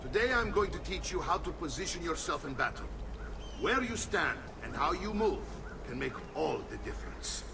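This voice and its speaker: Gravelly Italian uncle and battle mentor. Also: male voice, a little high, sing-song, normal speed, background noise. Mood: proud, encouraging. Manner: theatrical, commanding, instructive. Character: gruff middle-aged uncle and mentor, seasoned mercenary captain, proud. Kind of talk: dramatic video game cutscene acting. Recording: music behind the voice.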